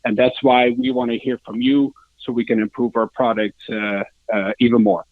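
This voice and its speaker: A man from the Netherlands with a Dutch accent, answering interview questions as a sales director in a halting, hesitant way. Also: medium pitch, level tone, no echo, narrow, tinny audio. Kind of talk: informal interview answers, product pitch.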